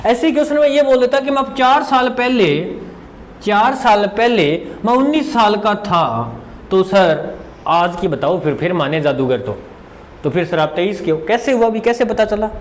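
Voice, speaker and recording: A high-voiced man gives an informal exam-prep lesson. He talks like a teacher at the board, repeating key phrases, asking questions and answering them himself. There is some noise behind him. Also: animated, moderate pace. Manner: conversational, asks and answers his own questions as students would; mixes English terms into Hindi. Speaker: maths teacher.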